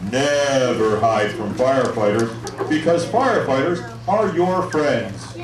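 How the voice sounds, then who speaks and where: A man's voice: mid-range voice, lively intonation, takes it slowly, crowd noise. A man playing a friendly firefighter who teaches children fire safety in an encouraging, instructive way in a scripted show. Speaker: firefighter presenter. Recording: echoey hall, distant mic, noisy audience.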